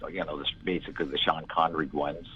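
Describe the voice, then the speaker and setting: Very deep gravelly older man. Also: fairly even intonation, quick pace, sharp tone. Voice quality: gravelly, gruff voice. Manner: rambling, reflective, many "you know" fillers. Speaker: interview guest, filmmaker. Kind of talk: casual conversational interview. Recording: dull lo-fi audio.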